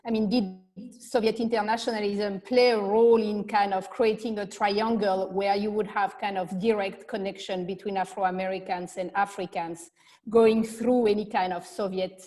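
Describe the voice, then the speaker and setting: A woman's voice: medium pitch, fairly even intonation, moderate pace, warm tone, big-room sound. A woman from France with a French accent, asking a long, winding question at an academic panel with frequent restarts.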